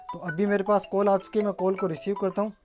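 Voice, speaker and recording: A man's voice: high-pitched, level tone, fast, darkish tone, soft background music. A man presenting a casual step-by-step tech tutorial, often addressing viewers as guys and mixing in English terms.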